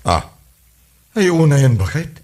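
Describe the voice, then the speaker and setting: A man's voice: a little high, sing-song, unhurried, darkish tone. A man with a Filipino accent playing a reasonable husband who scolds and moralizes in a podcast comedy drama. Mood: admonishing.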